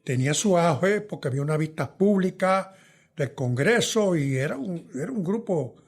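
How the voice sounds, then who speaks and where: Older man, husky voice, musing. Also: on the high side, lively intonation, normal speed. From New York. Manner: thoughtful, hesitant, trails off softly. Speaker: veteran journalist guest. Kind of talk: reflective interview answers.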